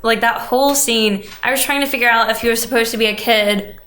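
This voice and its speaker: A woman's voice: a little high, steady tone, brisk, a bit bright, echoey room, slight background noise. A woman on a podcast panel, casually discussing a movie.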